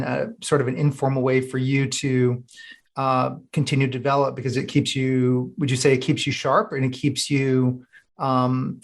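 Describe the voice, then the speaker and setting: A man hosting an interview, asking casual questions in a halting way and rewording them as he goes. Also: mid-range voice. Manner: halting, rambling, rephrases questions. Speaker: podcast host, interviewer.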